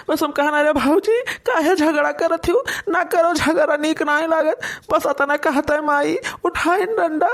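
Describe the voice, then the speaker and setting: A performer plays a bullied village man in an Awadhi comedy cartoon. The voice is pitched up very high, full of plaintive whining and helpless complaint. He sounds like a meek, put-upon victim. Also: creaky, fairly bright tone, full character voice.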